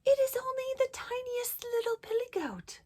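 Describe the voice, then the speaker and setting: A woman voicing the tiniest young male billy goat in a storybook, timid, with a very small, breathy voice. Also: very high voice, lively intonation, moderate pace, mellow, pitched above the performer's own voice. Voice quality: very small, breathy, half-whispered voice.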